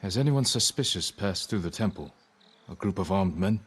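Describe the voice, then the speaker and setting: A deep-voiced, solemn samurai lord. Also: male voice, slightly rough, heavily acted. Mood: earnest. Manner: measured, calm, formal. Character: solemn, honourable samurai lord, earnest and restrained. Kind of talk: cinematic video game acting.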